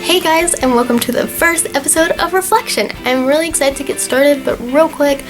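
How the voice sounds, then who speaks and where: A young woman in her twenties with a slightly hoarse, fading voice, talking to camera in a chatty, earnest way with plenty of fillers. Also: high voice, a little fast, over music. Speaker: vlogger. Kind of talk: casual talk to camera.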